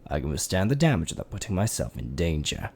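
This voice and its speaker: A man's voice: sits low, very animated, creaky, a little mellow, slightly rough, heavily acted. A man voicing a methodical skeleton scientist. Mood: reassuring. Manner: measured, self-reassuring recitation. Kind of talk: fan dub voice acting.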